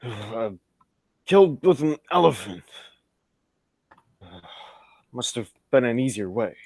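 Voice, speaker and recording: A man acting a pompous, excitable old-fashioned gentleman sidekick in a 1940s radio play, with flowery exclamations. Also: medium pitch, slow pace, gravelly, no room sound, exaggerated voice. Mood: mystified, dramatic.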